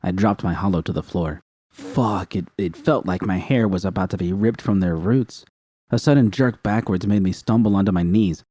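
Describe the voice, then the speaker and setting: A man reading a first-person fan fiction story aloud in a calm, steady way. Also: deep voice, moderate pace, no room sound.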